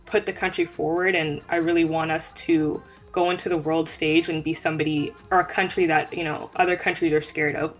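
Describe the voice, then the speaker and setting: A woman's voice: deep voice, flat delivery, brisk, hardly any pauses, faint music behind. A young woman athlete, answering interview questions in an earnest, informal conversational way. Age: young adult. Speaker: guest, track sprinter.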